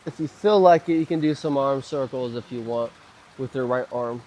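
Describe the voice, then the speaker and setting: Slightly hoarse man calmly cueing stretches. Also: medium pitch, moderate pace. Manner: calm, gentle cueing of moves and breaths. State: slightly hoarse, recovering from a cough. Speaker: mobility and stretching instructor. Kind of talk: guided mobility flow instruction.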